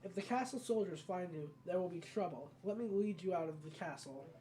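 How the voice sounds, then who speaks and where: Solemn female guardian of royalty, read aloud by a game player, measured delivery, mellow soft-edged tone, fantasy video game dialogue, faint hiss of a home recording. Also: mid-range voice, far from the mic, lightly acted, low-quality recording. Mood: solemn, instructive.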